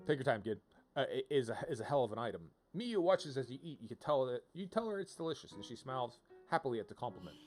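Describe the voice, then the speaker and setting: A man giving casual, rambling game livestream commentary, with wry playful asides as he reads on-screen text aloud. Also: mid-range voice.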